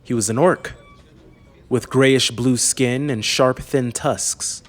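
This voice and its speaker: A man narrating a scripted fantasy audiobook in a measured, serious way. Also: medium pitch, steady tone.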